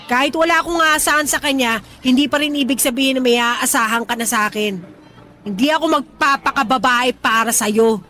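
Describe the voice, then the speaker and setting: A prickly, tomboyish young woman in a radio drama, firing off curt and scornful comebacks. Her voice sits high, with faint music running underneath. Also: brisk, bright, forceful tone.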